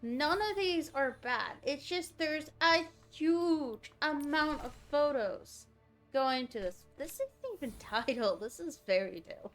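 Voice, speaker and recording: A woman's voice: high voice, expressive, a little slow, a bit bright, soft background music. A woman livestreaming a game, chatting casually and thinking aloud as she reacts to what is on screen. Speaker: game streamer.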